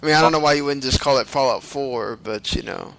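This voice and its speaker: A man's voice: mid-range voice, quick pace, creaky, fairly bright tone, rough recording. Man hosting a gaming podcast chat. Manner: leads the topics, loose and sarcastic. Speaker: podcast host.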